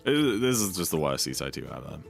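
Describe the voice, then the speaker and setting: Young male streamer, very deep and slightly hoarse, laid-back and rambling. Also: sing-song, a little fast. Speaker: speedrun streamer. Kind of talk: casual live-stream chat. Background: game music.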